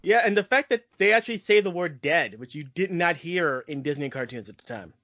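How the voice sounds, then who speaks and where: A man with a notably high voice co-hosts a casual cartoon discussion. The recording is very dry and close, though slightly dull and lo-fi. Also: animated, fairly quick, pushed and bright, no room sound. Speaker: podcast co-host.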